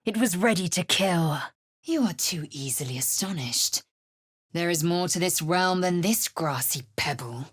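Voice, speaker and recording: A woman playing a fierce, haughty alien warrior in a video game, with a husky, raspy voice and clipped, imperious, formal delivery. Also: on the low side, dry recording, studio quality. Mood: imperious, scornful. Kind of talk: video game character acting.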